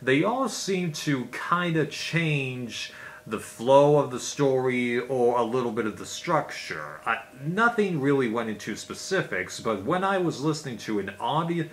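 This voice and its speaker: A man's voice: medium pitch, sing-song, unhurried. A man giving a casual, unscripted explainer as a video host, in a rambling, conversational way.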